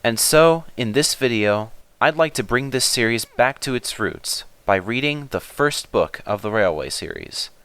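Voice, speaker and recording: A man's voice: medium pitch, lively intonation, a little slow, few pauses, a bit bright, clean tone. A man narrating in the manner of a children's storybook reader. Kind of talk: storybook narration and spoken video introduction.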